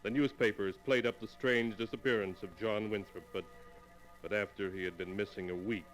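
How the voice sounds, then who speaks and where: An actor playing a solemn, ominous narrator, measured and dramatic, in a 1940s radio horror series. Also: male voice, on the low side, fairly even intonation, fairly bright tone, lightly acted, rough recording. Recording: old golden age radio recording. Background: prominent music.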